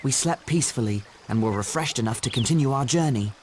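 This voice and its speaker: An actor playing an earnest, polite young hobbit traveller in a fantasy video game. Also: male voice, mid-range voice, fast, darkish tone, slight background noise, lightly acted. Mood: calm.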